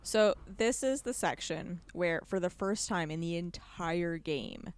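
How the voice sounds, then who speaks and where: A woman telling gaming stories in informal, animated podcast chat, acting out moments with repeated phrases. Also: on the low side, measured pace. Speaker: podcast guest. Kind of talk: casual chat, animated storytelling about gaming.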